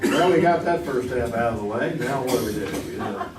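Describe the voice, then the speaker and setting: A man's voice: medium pitch, expressive, a little fast, over loud music. A man hosting a quiz show with joking, slightly bumbling comic banter. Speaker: game show host. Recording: very noisy, echoey, distant stage microphone.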